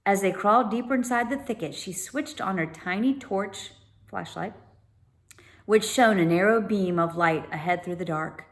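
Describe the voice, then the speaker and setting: Female read-aloud storyteller, medium pitch, children's book narration, informal explanatory asides. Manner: pauses to explain unfamiliar words informally.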